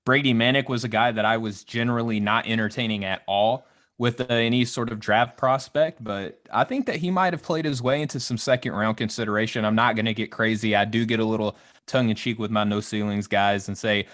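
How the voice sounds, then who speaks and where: A man's voice: on the low side, fairly quick, fairly bright tone. A man from Arkansas with a Southern US accent, a courteous, folksy podcast guest giving casual sports analysis.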